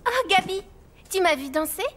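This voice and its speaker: High-voiced dreamy young girl. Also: animated, moderate pace, a bit bright. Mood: happy. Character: dreamy, spirited young orphan girl who dances. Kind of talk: dubbed French cartoon acting. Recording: music behind the voice.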